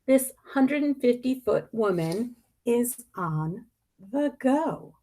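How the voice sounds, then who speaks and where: A woman reading a picture book aloud expressively, with deliberate dramatic emphasis and pointed pauses. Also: a little high, expressive, dark tone.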